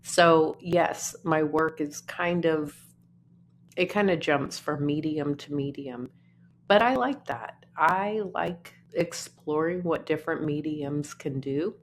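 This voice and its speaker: A woman, musing unhurriedly in a casual vlog from her apartment. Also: a little hiss or hum. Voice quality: naturally deep voice. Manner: unhurried, musing, trailing off between phrases. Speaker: artist vlogger. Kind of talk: casual talking-to-camera vlog.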